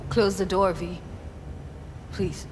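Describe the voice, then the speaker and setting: A woman playing a grieving, foul-mouthed, defiant young techie in a video game, speaking in blunt, halting phrases. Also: lowish voice, little pitch movement, noisy background. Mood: grieving, weary. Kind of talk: cinematic video game acting. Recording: music behind dialogue.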